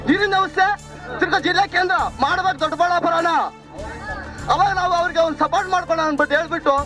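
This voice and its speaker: A man's voice: extremely high pitch, fairly even intonation, rapid-fire, over music, crowd noise. A man with a southern Karnataka Kannada accent giving his opinion as a local resident, insistent and repetitive. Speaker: local resident voicing grievances. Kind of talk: spontaneous street opinion.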